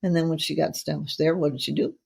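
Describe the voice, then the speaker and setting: A woman's voice: deep voice, little pitch movement, fast, creaky, darkish tone, breathy edge. A middle-aged woman hosting a casual interview, chatty and effusive, rambling from one thought to the next. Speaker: podcast host.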